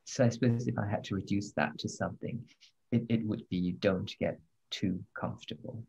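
A man answering as a guest, speaking in a measured, reflective way with small hesitations. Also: lowish voice, fairly even intonation, vocal fry, darkish tone, breathy edge, highs cut off. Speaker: literary translator, guest. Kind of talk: interview answer.

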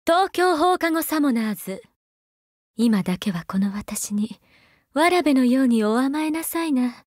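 High-voiced, doting, seductive mother figure. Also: lively intonation, a little slow, dry recording, studio quality. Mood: protective. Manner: coaxing, breathy-whispering at times, archaic feminine phrasing. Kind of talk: Japanese mobile game character voice lines.

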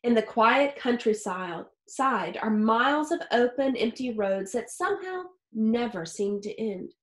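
A woman in her thirties reads a children's picture book in a slightly high voice. She phrases deliberately, as a teacher would for young listeners. The small room gives her voice a clear echo. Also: expressive. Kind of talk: expressive children's read-aloud. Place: small home office or study with wooden shelves. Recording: roomy, echoey indoor sound.

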